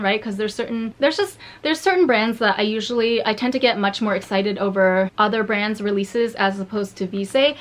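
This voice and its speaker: A woman vlogging a casual beauty haul from home, chatty and rambling with many fillers and restarts, her voice slightly hoarse. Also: medium pitch.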